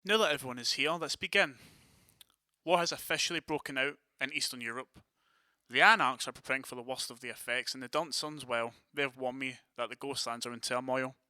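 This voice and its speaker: A man's voice: mid-range voice, fairly quick, pushed and bright, dry recording, studio quality. A man playing a commanding, decisive vampire prince in an audio drama, with a formal, authoritative manner.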